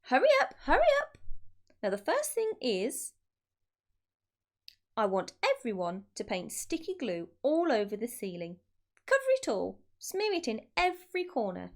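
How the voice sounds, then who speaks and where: A woman voicing a bossy, excitable father monkey from a children's storybook, snapping urgent orders. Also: on the high side, unhurried, heavily acted. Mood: frantic urging. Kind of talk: children's storybook read-aloud.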